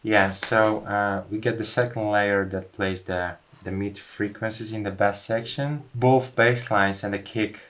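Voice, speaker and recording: A man's voice: fairly low pitch, steady tone, a little slow, few pauses, fairly bright tone. A man giving a casual tutorial as a music producer, with informal, slightly non-native phrasing. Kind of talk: casual track breakdown tutorial. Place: music studio. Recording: music playing behind the voice.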